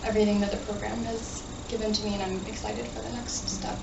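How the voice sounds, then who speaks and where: A woman's voice: mid-range voice, level tone, fast, vocal fry. Grateful young woman, muffled and distant. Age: young adult. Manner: grateful, with frequent ums. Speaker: graduate student. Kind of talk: short thank-you speech. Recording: dull, echoey, distant audio with noise.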